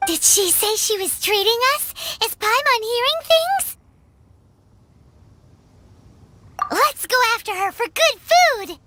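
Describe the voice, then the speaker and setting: A woman voicing a tiny, childlike, greedy and cheeky floating companion in a video game, with a squeaky voice. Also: expressive, measured pace, background noise. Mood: eager. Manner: refers to self in third person, cheeky. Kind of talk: video game character acting. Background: loud playful game music.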